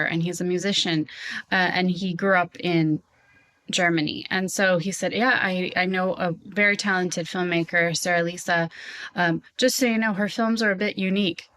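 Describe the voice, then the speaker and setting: A woman's voice: on the low side, a bit bright. A woman hosting a podcast interview in a conversational style.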